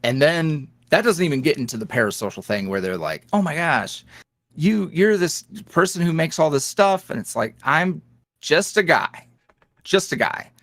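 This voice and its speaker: A young man speaks candidly as an interview guest, his voice moving through a wide, lively pitch range. The sound is dry and close, with no room around it. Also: moderate pace, no echo. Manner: candid, animated, jumps up in pitch when quoting others. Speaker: guest, ASMR roleplay creator. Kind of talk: casual interview chat.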